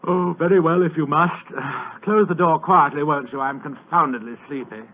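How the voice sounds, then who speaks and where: An actor playing a fat, lazy, superior British government official in a 1940s radio drama, with a languid, pompous, condescending manner. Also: male voice, a little high, normal speed, close to an everyday voice. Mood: sleepy, superior. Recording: old muffled 1940s radio recording.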